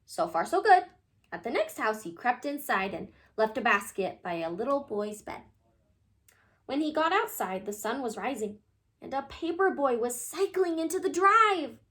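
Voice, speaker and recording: Animated, high-voiced woman reading a story. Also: moderate pace. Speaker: read-aloud storyteller. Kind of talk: expressive children's story narration.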